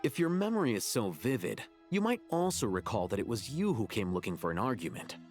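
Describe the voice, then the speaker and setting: A man's voice: fairly low pitch, big pitch swings, fairly quick, soft background music. An actor playing a composed young male scholar in a game cutscene, dry and matter-of-fact. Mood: calm, dry.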